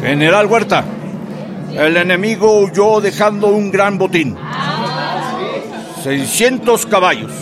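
A soldier declaims his report to a general in a loud, high voice, item by slow item. Loud music and crowd noise fill this radio drama. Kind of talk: Spanish-language radio drama acting.

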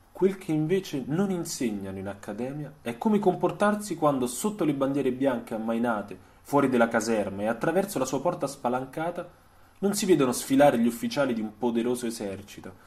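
A man narrating a scripted dramatic radio story, solemn and measured, with deliberate, literary phrasing. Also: medium pitch, darkish tone. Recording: music behind the voice. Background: music soundtrack.

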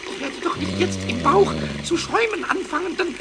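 An actor playing a cheeky, stubborn little kobold in a children's radio play, childlike and boastful, whiny and excitable, repeating words for emphasis. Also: male voice, extremely high pitch, a little fast, gravelly, loud music behind the voice, full character voice. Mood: whiny, panicked. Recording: noisy 1970s radio play recording.

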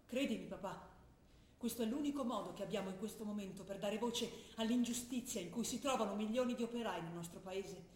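A woman acting a young revolutionary who writes earnest letters to her father, speaking intensely and sometimes dropping to a hush, in a roomy stage recording. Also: mid-range voice, fairly quick, lightly acted. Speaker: stage actor. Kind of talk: theatre drama broadcast on radio.

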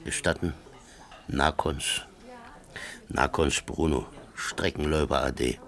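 An actor playing a cheeky, stubborn old working man in a radio play, gruff and colloquial. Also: extremely low pitch, slow, a bit bright, exaggerated voice. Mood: dry, gruff. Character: grumbling, cheeky old working-class man, railway track walker. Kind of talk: naturalistic radio play acting.

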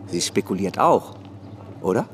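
An actor playing a brisk, self-assured, scheming middle-aged financier in a radio play. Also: male voice, deep voice, very animated, slight background noise. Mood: confident, knowing.

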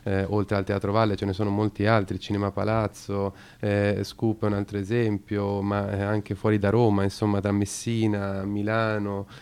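A male radio co-host with a low, gravelly yet smooth voice. He explains things in long, halting sentences, stopping often to search for words, and his delivery stays flat and even. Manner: hesitant, lots of fillers and restarts. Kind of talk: radio talk explaining a topic.

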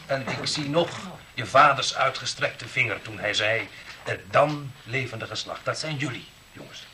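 An actor playing an elderly, pious eldest brother giving a solemn, sentimental jubilee speech in a 1960s radio drama. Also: male voice, mid-range voice, expressive, moderate pace, bright, forceful tone, slight background noise. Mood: solemn, nostalgic. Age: older.

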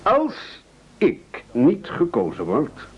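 An actor playing a wily, soldierly demagogue in a satirical radio play, speaking in a blustering, oratorical way. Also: male voice, a little high, huge pitch swings, drawn-out words, husky, heavily acted. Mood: blustering, self-serving. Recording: dull old radio recording.

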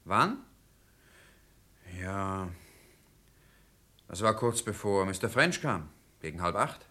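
Very low, forceful, insistent witness. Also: male voice, very animated, slow pace, exaggerated voice. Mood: recalling. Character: insistent, puzzled male witness to police. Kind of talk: German crime radio drama acting.

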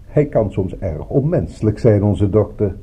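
An actor playing a courteous, coolly polite villain in a radio drama, speaking formally with smooth regret. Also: male voice, lowish voice, animated, moderate pace, dark tone. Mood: formal, regretful.

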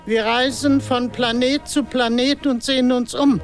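An actress in a radio drama playing a friendly, slightly patronising interplanetary travelling harvest helper. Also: female voice, on the high side, fairly even intonation, unhurried, faint music behind. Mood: cheerful, impressed. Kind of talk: German radio drama acting.

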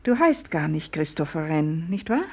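A woman's voice: deep voice, very animated, normal speed, warm tone, exaggerated voice. An actress playing an anxious, motherly young married woman in a 1950s German-language radio play. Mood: gentle, firm. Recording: 1950s radio drama recording.

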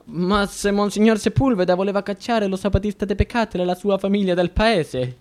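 An actor playing a confused, easily swayed judge in a radio play, stammering and repeating himself anxiously. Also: male voice, high voice, brisk, darkish tone, natural voice. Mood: puzzled, nervous. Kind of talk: theatrical radio drama acting.

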